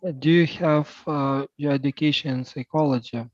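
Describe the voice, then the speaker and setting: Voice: mid-range voice, fairly even intonation, normal speed, no room sound, muffled recording. A university admissions representative answering applicant questions patiently and helpfully, with frequent hesitations.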